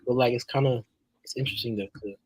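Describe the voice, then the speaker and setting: A man's voice: mid-range voice, steady tone, fast talker, darkish tone, husky, no room sound, rough recording. A man who grew up in Australia, chatting casually on a podcast panel, stumbling and repeating words as he thinks. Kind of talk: casual chat.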